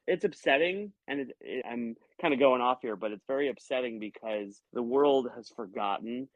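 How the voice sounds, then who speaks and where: A comedian guest chatting on a podcast, a man who talks in animated, rambling bursts and cuts himself off mid-thought. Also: fairly low pitch, dry recording. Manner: animated, rambling, self-interrupting. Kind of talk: casual podcast conversation. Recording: dry muffled lo-fi sound.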